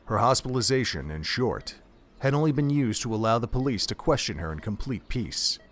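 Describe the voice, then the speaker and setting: A man's voice: mid-range voice, expressive, fairly quick, fairly bright tone. A man reading scripted third-person narration as the storyteller of an audio drama.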